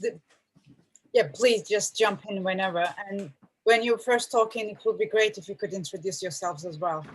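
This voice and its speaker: A woman's voice: medium pitch, normal speed, slightly rough, rough recording. A woman hosting an online panel, speaking casually with hesitations and fillers. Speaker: host.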